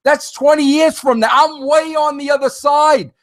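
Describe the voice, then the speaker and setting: A fifty-something man hosts his own show, rambling through a casual monologue aimed right at his listeners. His voice sits high for a man, and he often stumbles, repeats himself and restarts before pushing on with lively energy. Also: normal speed. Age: 50s. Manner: rambling, animated, frequent restarts and fillers; addresses listeners directly. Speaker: podcast host.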